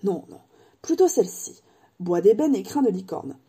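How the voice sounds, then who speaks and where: A woman voicing an eccentric old male wand maker in an audiobook, speaking slowly and deliberately in a hushed tone that turns sharp. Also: mid-range voice, very animated, breathy edge. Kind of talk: audiobook character voice.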